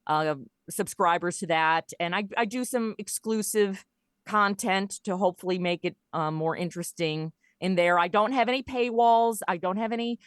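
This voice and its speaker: A woman chatting casually as a podcast guest, telling things in an animated, conversational way and voicing bits of dialogue as she goes. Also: mid-range voice, normal speed, no room sound, studio quality. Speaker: podcast guest, audio drama creator and voice performer. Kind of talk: casual interview chat.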